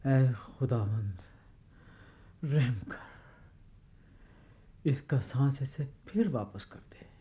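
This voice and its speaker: A solemn, compassionate holy man pleads with fervent devotion. His voice is husky and breathy, his delivery unhurried, in a muffled religious drama recording. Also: mid-range voice, exaggerated voice. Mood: sorrowful pleading. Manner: solemn, pleading, slow in prayer. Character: devout male Israelite prophet, solemn, compassionate, fervent.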